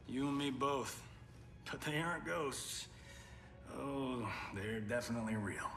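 A man acting a steady, reassuring soldier in a video game cutscene. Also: mid-range voice, a little slow, vocal fry, roomy sound, over music.

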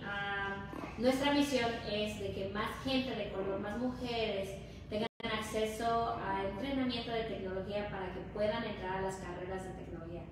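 Female interview guest, Mexican Spanish accent, conversational explainer, mid-pitched, very roomy sound. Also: distant mic. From Hidalgo, Mexico. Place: indoor lounge nook with brick wall and concrete floor. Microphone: distant camera or phone. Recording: echoey, noisy room, off-mic.

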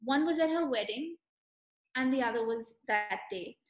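A woman's voice: high-pitched, normal speed, dull audio. A young woman, an author, telling family stories on a video call with an eager, detailed storytelling manner. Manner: warm storyteller, lingering on striking details. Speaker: author being interviewed. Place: room with bookshelves, indoors. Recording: echoey room on a video call.

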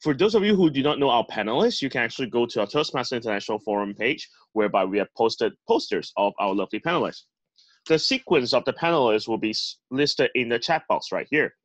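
A man's voice: mid-range voice, expressive, fast talker, a bit bright, dry recording, dull audio. A man hosting an online club meeting over a video call, introducing panelists in an upbeat emcee manner. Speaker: host.

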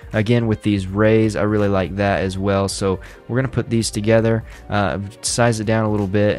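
Chatty low-voiced man teaching design. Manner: relaxed and chatty, light laughs, many fillers. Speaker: design tutorial host. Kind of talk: casual screen-recorded tutorial. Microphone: studio microphone close up.

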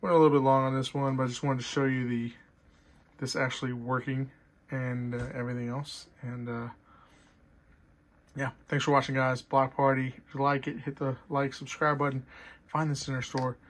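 Chatty man demoing a boombox. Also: little pitch movement, moderate pace, slight background noise. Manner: loose and chatty, trails off and restarts. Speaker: reseller showing an item for sale. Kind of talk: casual product demo. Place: indoors at a table. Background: radio and tape music playing.

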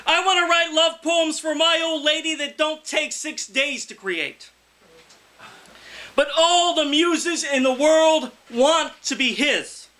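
A man in his thirties giving a loud, emphatic poetry reading, pushing out phrases in a declamatory shout at a live indoor reading. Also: very high-pitched. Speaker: poet, featured reader.

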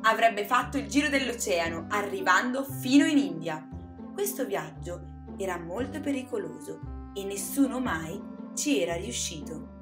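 A woman narrating a scripted children's story in an expressive, dramatic storytelling manner. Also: medium pitch, moderate pace. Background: music.

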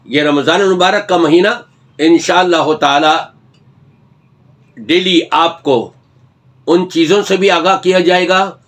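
A man's voice: on the high side, slow pace, pauses often, a bit bright. An older man, an Islamic scholar, talks earnestly to the camera, admonishing and gesturing as he speaks from a study lined with books. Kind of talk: religious talk to camera.

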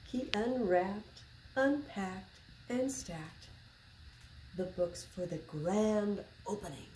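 A woman in her sixties reads to children in an unhurried, expressive way. Her voice is soft-edged and mellow at a medium pitch. She is recorded a few feet from the camera in an echoing indoor room with faint background noise. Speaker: library storyteller. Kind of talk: picture book read-aloud for children. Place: small public library room, indoors.

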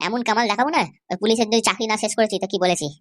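A man's voice: high voice, fairly even intonation, rapid-fire, close to an everyday voice. A man voicing a protective young nephew in an amateur comedy cartoon, insistent, boastful and reassuring. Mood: determined. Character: cocky, protective young nephew vowing revenge.

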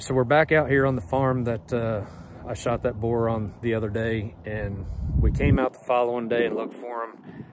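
A man in his forties with a Texan accent, filming himself on a phone while bowhunting, talking in a relaxed, plain-spoken way. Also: mid-range voice, noisy background. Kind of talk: casual self-filmed hunting vlog. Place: pickup truck cab and outdoors on a farm. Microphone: phone camera at arm's length.

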